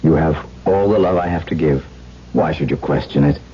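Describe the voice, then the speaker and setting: An actor playing a brooding, haunted aristocratic husband in a 1970s radio drama, speaking formally and earnestly. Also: male voice, rumbling low, normal speed, mellow, slight background noise. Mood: tender. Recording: dull vintage radio broadcast, music behind.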